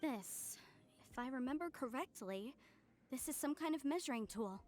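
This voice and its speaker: A woman's voice: high-pitched, lively intonation, moderate pace, a little mellow. A woman playing an earnest, reverent young relic seeker in a video game, speaking expressively. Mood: curious.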